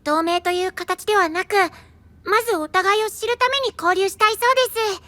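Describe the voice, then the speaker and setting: Young boy knight: very high, bright, earnest and courteous, for anime-style audio drama. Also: brisk, dry recording. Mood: earnest, polite. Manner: courteous, deferential speech.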